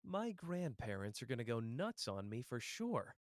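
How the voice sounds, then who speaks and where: A man's voice: medium pitch, sing-song, normal speed, long reverb tail, natural voice. A man playing a cocky, protective young sorcerer and clan head in a roleplay audio drama, taunting and sardonic in casual slang. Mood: subdued, tender. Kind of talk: character roleplay audio drama addressed to the listener.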